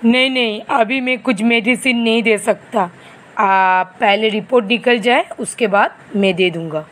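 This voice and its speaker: Woman voicing a slow, stern male doctor. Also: fairly high pitch, a bit bright. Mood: businesslike. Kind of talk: animated moral story dialogue.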